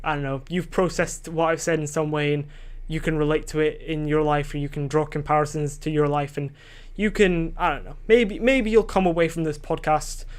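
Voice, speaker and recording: Young adult male solo podcaster, slightly high voice; rambling, self-doubting delivery with casual swearing. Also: steady tone, normal speed, clean tone. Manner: rambling and self-questioning, loses his train of thought. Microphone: desk microphone.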